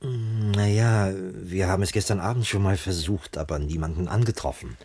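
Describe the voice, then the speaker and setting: A man's voice: sits low, normal speed, a little mellow, breathy edge. An actor playing a wry, bantering former policeman turned private investigator in a 1980s German radio crime drama. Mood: wry, probing. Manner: jocular, sardonic.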